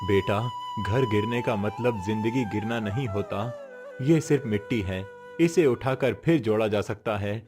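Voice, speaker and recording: A man's voice: fairly low pitch, unhurried, music in the background, lightly acted. A man acting a poor, weary but resolute village father, earnest and comforting, in a cartoon moral story. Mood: solemn, reassuring.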